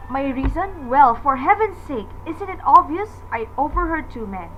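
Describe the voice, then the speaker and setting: An actress playing an anxious, demanding invalid wife in a radio drama, fretful, indignant and easily exasperated. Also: female voice, sits high, animated, normal speed, bright, forceful tone, slight background noise, poor audio.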